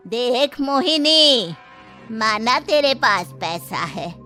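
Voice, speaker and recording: A woman's voice: fairly high pitch, animated, drawn-out words, bright, forceful tone, faint music behind, heavily acted. A woman voicing a firm old grandmother in a moral story, chiding her proud daughter emphatically. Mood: firm scolding.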